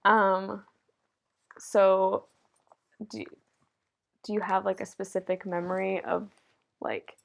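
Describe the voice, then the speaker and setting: A woman's voice: medium pitch, little pitch movement, slow pace, vocal fry, long pauses. A woman hosting a casual chat, hesitant and chatty with many fillers. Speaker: host.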